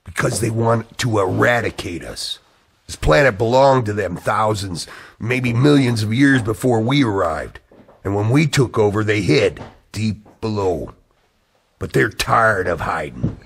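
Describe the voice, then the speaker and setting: An actor playing an agitated, earnest man who believes in a dark conspiracy, in a radio-style drama. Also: lowish voice, expressive, measured pace, creaky, breathy edge, heavily acted. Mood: grave, insistent. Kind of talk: scripted radio-play acting.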